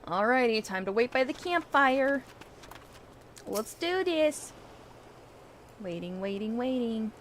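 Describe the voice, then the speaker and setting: A woman's voice: on the high side, expressive, takes it slowly, long pauses, slight background noise. A woman livestreamer giving casual, playful commentary while she plays a video game. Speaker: livestreamer, VTuber.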